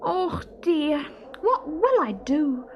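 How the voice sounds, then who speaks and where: A woman's voice: sits high, big pitch swings, slow pace, muffled recording, a little hiss or hum, pitched above the performer's own voice, heavily acted. A woman voicing a small, worried young male stoat in a children's storybook, anxious and fretful.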